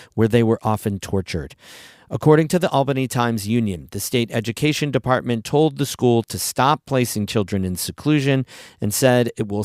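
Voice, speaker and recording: A man's voice: mid-range voice, darkish tone, dry recording, clean studio sound. A man hosting a news podcast, reading scripted stories in a conversational public radio style.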